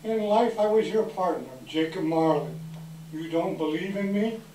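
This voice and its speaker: A man's voice: high voice, normal speed, mellow, natural voice. A man playing a mournful, stern ghost in a solemn, ominous, declamatory stage reading. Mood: grim, mournful. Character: mournful, stern male ghost of a dead business partner. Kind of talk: readers theater stage reading of a classic story. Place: indoor live event room. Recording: echoey room, distant mic, background noise.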